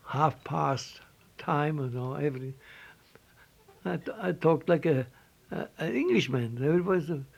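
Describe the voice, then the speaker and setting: Older Swiss man, slightly high voice. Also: lively intonation, vocal fry. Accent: Swiss German. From Switzerland. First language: Swiss German. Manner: halting, repeats words, non-native phrasing. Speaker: interviewee. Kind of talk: reminiscing life story.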